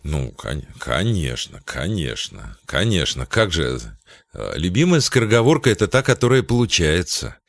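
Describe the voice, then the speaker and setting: A man from Belarus, a voice-over announcer, answering interview questions thoughtfully, pausing to search for words. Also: low-pitched, very animated, creaky, no room sound.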